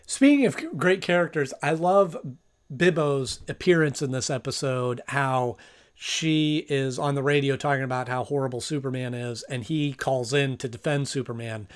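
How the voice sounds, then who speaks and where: A man's voice: mid-range voice, normal speed. A man hosting a casual review, commenting in a conversational, thinking-aloud way with emphasis. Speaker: host, cartoon reviewer.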